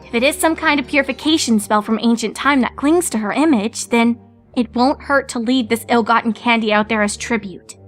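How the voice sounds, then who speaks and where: A woman's voice: a little high, a little fast, faint music behind, pitched above the performer's own voice, close to an everyday voice. A woman voicing a studious young female unicorn who explains things earnestly. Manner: earnest, explanatory, slightly uncertain.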